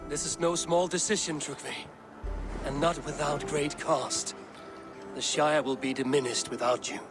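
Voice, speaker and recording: An actor playing a plainspoken, steady Viking warrior in dramatic game dialogue, speaking in a measured, deliberate way. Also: male voice, on the high side, music in the background, lightly acted. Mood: firm, persuasive.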